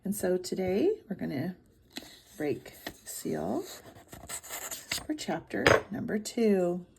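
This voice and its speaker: A woman reading a storybook aloud to children in expressive narration. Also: fairly low pitch, slow, gravelly, noisy background. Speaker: storybook reader.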